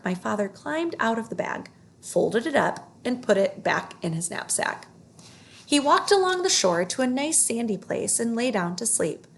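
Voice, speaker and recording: Creaky-voiced woman reading a children's story. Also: mid-range voice. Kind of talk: read-aloud children's story narration.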